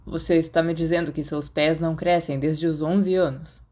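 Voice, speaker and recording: A woman's voice: deep voice, steady tone, moderate pace, a little mellow. Woman as a dry, grumpy Brazilian schoolboy. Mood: dry, sceptical.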